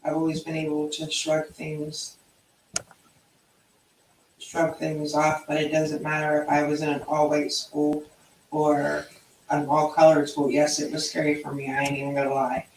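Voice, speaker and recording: Matter-of-fact woman, slow flat voice, far from the mic, echoey, loud noise behind. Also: sits low. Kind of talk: casual chat.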